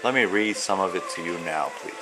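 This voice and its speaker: A man, an author, presenting and reading a children's storybook in deliberate, measured phrases. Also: sits low. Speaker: author and illustrator reading own children's book. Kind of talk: read-aloud storytelling of rhyming children's book.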